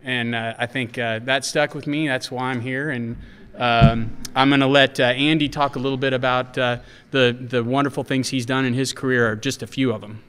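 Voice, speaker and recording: Halting man at a podium, reverberant hall. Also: mid-range voice, steady tone, a bit bright, smooth. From West Texas. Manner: halting, many restarts. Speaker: panel moderator. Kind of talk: introducing a panel. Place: hotel conference room. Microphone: podium mic.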